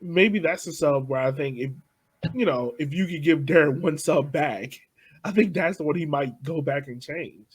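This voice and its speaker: A man's voice: a little high, normal speed, breathy edge. A man co-hosting a podcast, chatting casually about soccer substitutions and often saying "like.". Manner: casual, uses "like" a lot. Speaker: podcast co-host.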